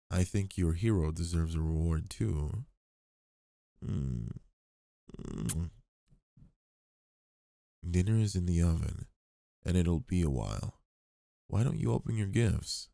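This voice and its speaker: A man playing an affectionate, protective, gently teasing boyfriend in an intimate roleplay ASMR audio. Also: low voice, creaky, no echo, studio quality, heavily acted. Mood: proud, affectionate.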